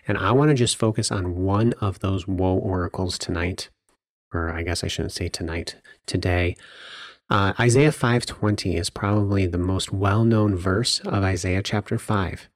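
A man with a fairly low voice gives an informal Bible devotional for a podcast. He explains earnestly and deliberately, and the recording is close and very dry, with no room sound. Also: no echo.

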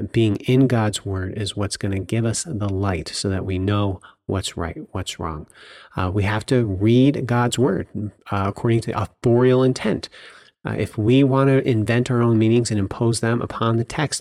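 A man giving an informal devotional Bible lesson on a podcast, explaining things earnestly and deliberately. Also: lowish voice, darkish tone, no room sound, clean studio sound.

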